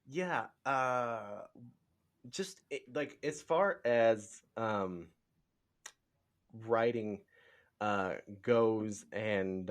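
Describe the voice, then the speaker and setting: A man with a fairly low voice hosts a casual podcast interview. He feels his way through sentences in a halting, rambling and tentative manner.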